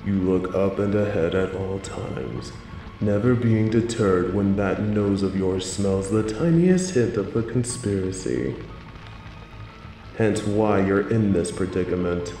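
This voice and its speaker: Male actor as sinister playful supervillain, mellow tone, theatrical teasing delivery, roomy echo, audio drama. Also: sits low, faint music behind. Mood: teasing menace. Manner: theatrical, teasing, drawn-out emphasis. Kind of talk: scripted audio roleplay villain monologue.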